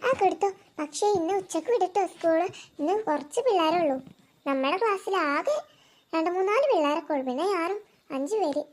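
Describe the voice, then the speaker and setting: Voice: extremely high pitch, a little fast. A performer voicing chatty children and aunties in a comic family cartoon, in colloquial dialogue.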